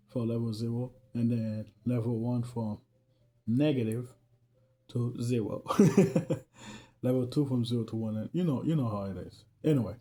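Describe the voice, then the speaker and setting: Mellow-voiced man with a Haitian accent. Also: medium pitch. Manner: earnest, loose and chatty, laughs often. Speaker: faith vlogger. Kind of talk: casual talk to camera.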